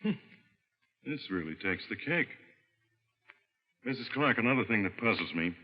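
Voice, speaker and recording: A man's voice: fairly low pitch, expressive, normal speed. A man playing a wisecracking, skeptical police inspector in a vintage radio drama, slangy and world-weary. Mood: wry, baffled. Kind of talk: mid-century American radio crime acting. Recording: vintage radio, muffled, long reverb.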